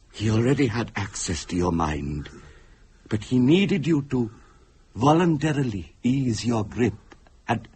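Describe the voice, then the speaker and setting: An actor playing a grave older spirit medium in a supernatural radio drama, with a rough husky voice and formal, solemn phrasing. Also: male voice, lowish voice, darkish tone, full character voice. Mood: grave, regretful. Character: grave older male spirit medium, Mister Asif, weary and intense.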